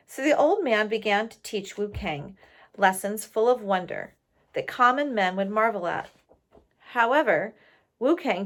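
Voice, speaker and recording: A woman reads a story aloud to listeners. Her delivery is slow and expressive, with pitch that moves around a great deal. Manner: pauses to question listeners. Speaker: storybook reader.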